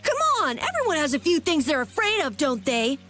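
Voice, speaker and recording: A woman voicing a timid, excitable young boy adventurer in a video game, jumpy and earnest. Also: high voice, fairly quick, faint music behind. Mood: flustered. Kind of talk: video game dub acting.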